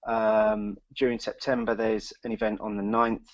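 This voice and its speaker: A man's voice: lowish voice, level tone, normal speed, dry recording, dull audio. A man co-hosting a podcast, reading the news and chatting casually with many ums and restarts. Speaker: podcast co-host.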